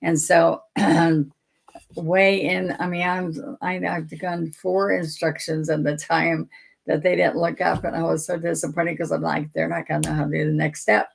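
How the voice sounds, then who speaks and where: An older woman leads a painting lesson in a casual, chatty way, greeting viewers by name as she works. Her voice is low and gravelly, with a husky rasp that stays present throughout. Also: level tone, normal speed, poor audio. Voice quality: raspy. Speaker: painting teacher.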